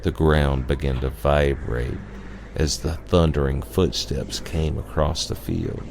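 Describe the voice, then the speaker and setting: A man's voice: extremely low pitch, fairly even intonation, slow pace, gravelly, background noise. A man telling a horror story as a dramatic scripted narration. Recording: music under the voice.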